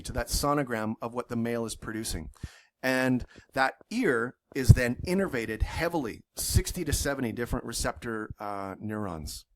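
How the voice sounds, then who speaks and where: A man lecturing at a university in a middle-pitched voice. He talks with enthusiasm, phrasing things loosely and often pausing on fillers. He corrects himself mid-sentence as he goes. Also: a little hiss or hum.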